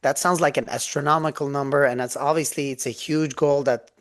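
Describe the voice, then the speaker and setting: A man's voice: medium pitch, fairly even intonation, fairly quick, fairly bright tone. A man, a guest on a business podcast, telling his story in an earnest, eager way over a video call. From Turkey. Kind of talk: interview storytelling.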